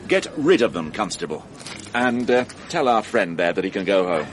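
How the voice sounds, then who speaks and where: An actor playing a dry, wry middle-aged police inspector in a radio drama, clipped and lightly sarcastic. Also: male voice, on the low side, very animated, moderate pace, a little hiss or hum.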